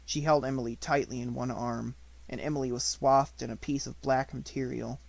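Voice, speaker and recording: A man's voice: medium pitch, steady tone, normal speed, creaky. A man reading scripted narration from a children's novel as an audiobook narrator.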